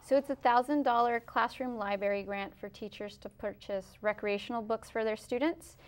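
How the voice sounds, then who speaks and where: A woman's voice: a little high, fairly even intonation, moderate pace. A woman giving explanatory answers as an interview guest, in a professional, informative manner. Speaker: interview guest, foundation president.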